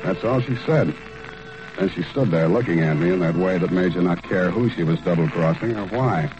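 An actor playing a wry, hardboiled private detective in an old radio drama, with dry, clipped, sardonic delivery. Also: male voice, sits low, normal speed, natural voice. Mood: wry narrating. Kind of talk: 1940s radio detective drama with first-person narration. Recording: muffled old radio recording with music.

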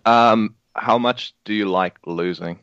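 Relaxed New man, low voice. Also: takes it slowly, dry recording. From New Zealand. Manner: relaxed, hesitant, laughs easily. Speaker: podcast guest. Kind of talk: casual chat. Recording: dry, dull lo-fi sound.

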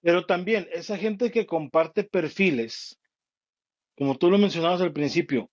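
A man's voice: high voice, moderate pace, a little mellow. Guy cohost cracking jokes and teasing, kinda muffled lo-fi audio. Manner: joking, teasing banter. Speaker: podcast co-host. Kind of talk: casual chat.

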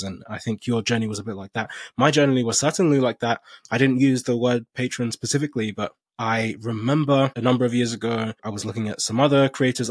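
A man's voice: medium pitch, no room sound, studio quality. A man hosting a conversational interview, speaking in an affirming way and relating points to his own experience. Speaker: podcast host.

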